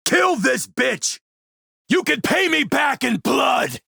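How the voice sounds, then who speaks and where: A man playing a smooth, menacing military warlord and arms dealer in a video game, suave, commanding and measured. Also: extremely high pitch, animated, pushed and bright, no room sound, shouting, studio quality, full character voice. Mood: furious battle shouting. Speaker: villain character in an action role-playing game. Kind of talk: acted video game dialogue.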